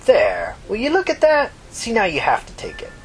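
A man's voice: very high voice, wildly swooping pitch, normal speed, a little hiss or hum, voice pushed up, exaggerated voice. A man voicing a kindly, talkative old mother from New York in a story reading, folksy, reflective and reassuring. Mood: warm, motherly. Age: older.

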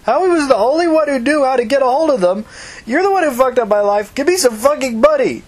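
A woman voicing an enraged, despairing runaway young woman in a story reading, bitter and hoarse. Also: high voice, animated, fast talker, voice pushed up. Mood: angry, demanding. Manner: shouting accusations, profane, bitter. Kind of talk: audiobook character acting.

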